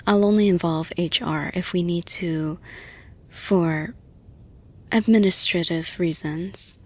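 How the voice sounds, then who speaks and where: A woman playing a caring, persuasive boss in an intimate audio roleplay, soft-spoken and breathy, often dropping to a whisper, deliberate and reassuring. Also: on the low side. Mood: soothing, reassuring. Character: caring, persuasive female boss offering support to employee.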